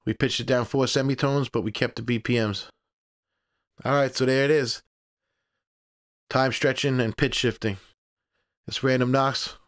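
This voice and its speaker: A man presenting a casual step-by-step software tutorial in a plainspoken, informal, instructional way. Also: medium pitch, fairly even intonation, measured pace, long pauses, soft background music.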